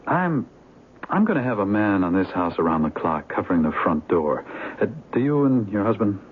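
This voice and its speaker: An actor playing a shrewd, plain-spoken police detective in a 1970s radio drama. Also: male voice, fairly low pitch, very animated, normal speed. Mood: wary, probing. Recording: muffled, hissy 1970s radio broadcast.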